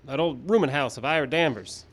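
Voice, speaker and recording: A man's voice: medium pitch, fairly quick, natural voice. A man playing a folksy, deferential sidekick to a lawman in a radio western. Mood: worried. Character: deferential, folksy deputy sidekick in the Old West. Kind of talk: old-time radio western acting.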